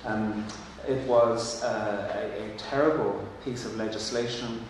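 A man's voice: on the low side, steady tone, measured pace, a little hiss or hum. An Irish man whose first language is Irish, speaking earnestly and thoughtfully on a panel, with frequent restarts. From Ireland. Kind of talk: panel discussion. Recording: echoey hall from far off.

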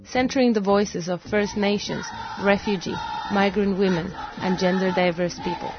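A woman's voice: mid-range voice, steady tone, normal speed. Woman reading a radio promo. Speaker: station promo voice. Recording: dull lo-fi audio with loud music.

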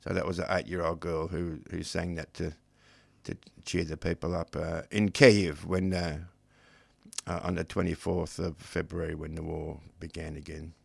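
A man raised in Australia with Ukrainian as his first language, a poet talking in a relaxed, halting way on a radio interview. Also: sits low, gravelly, no room sound. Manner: relaxed, halting, many restarts. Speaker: poet guest. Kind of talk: radio interview, reading haiku.

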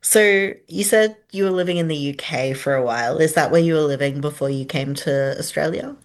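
A woman with an unusually deep, low voice hosts a community radio interview. She asks curious questions and keeps the guest going with short, encouraging affirmations. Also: a little fast.

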